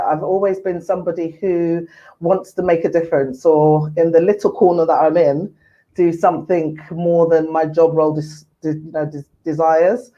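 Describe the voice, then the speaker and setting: Woman, 40s, from Ghana, earnest and unhurried guest, dull lo-fi line. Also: deep voice. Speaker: mental health services manager, guest. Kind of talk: casual interview chat. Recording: muffled lo-fi audio.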